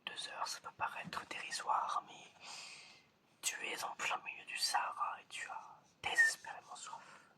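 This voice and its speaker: An ASMR performer playing an eccentric examiner in a whispered roleplay, speaking slowly and hesitantly and repeating phrases. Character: eccentric examiner testing whether the listener is human.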